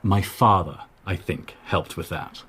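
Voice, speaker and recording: An actor playing a privileged brewery heir and reformed playboy in a radio drama, urbane and politely condescending. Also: male voice, deep voice, measured pace, fairly bright tone, breathy edge, full character voice. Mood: guarded, condescending.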